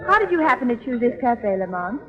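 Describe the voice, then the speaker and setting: A woman's voice: a little high, sing-song, a little fast. An actress playing an elegant, inquisitive society woman in old radio drama, eager and refined. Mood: curious. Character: elegant, curious society lady companion of sleuth. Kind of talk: 1930s radio drama acting. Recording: dull old radio recording.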